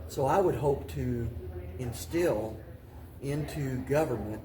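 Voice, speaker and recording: An American man answering interview questions in a deliberate, halting way, often restating his phrases as he goes. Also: mid-range voice, crowd noise. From Paducah, Kentucky, United States. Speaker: mayor and interview guest.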